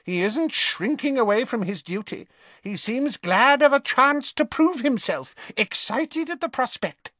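A man's voice: very high voice, animated, unhurried, fairly bright tone, no room sound, voice pushed up, full character voice. A man voicing a fanatical, scornful witch in an audiobook, harsh and triumphant. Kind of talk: audiobook character voice.

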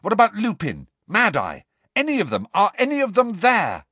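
Um homem dando voz a um rapaz adolescente, desafiador, que exige respostas aos gritos. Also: male voice, high voice, huge pitch swings, moderate pace, dry recording, voice pushed up, exaggerated voice. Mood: urgent defiant. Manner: demanding, shouting questions. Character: defiant teenage boy wizard, urgent and angry.

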